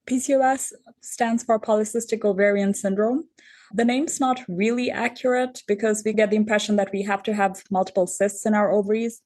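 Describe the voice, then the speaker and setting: Measured woman physician from Bangladesh. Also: mid-range voice, steady tone, darkish tone. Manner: measured, methodical explaining. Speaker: endocrinologist guest. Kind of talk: explanatory interview answers.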